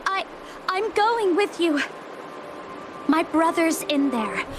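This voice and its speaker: A woman's voice: very high voice, expressive, slow pace, full character voice. A woman playing an anxious but determined young child in a video game, earnest and pleading. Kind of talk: scripted video game voice acting. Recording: clear background noise.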